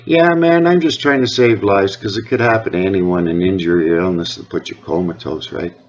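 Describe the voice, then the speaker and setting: A man's voice: sits low, a little fast. A man with a Canadian accent talking earnestly and insistently on a phone call, rambling from point to point. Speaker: caller, health awareness advocate. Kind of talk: phone conversation. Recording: dull lo-fi phone call recording.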